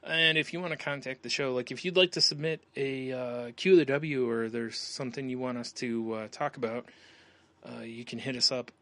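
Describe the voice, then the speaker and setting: A man's voice: mid-range voice, fairly even intonation, normal speed, fairly bright tone, no room sound. An American man hosting a podcast in a casual, loose, rambling way. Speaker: podcast host. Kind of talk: casual chat, show plugs.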